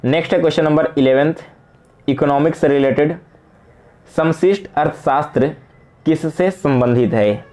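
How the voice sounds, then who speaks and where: A man's voice: fairly high pitch, moderate pace, dark tone. A man with an Indian accent teaching exam prep, walking students through quiz questions and answering them with explanations, mixing in English terms.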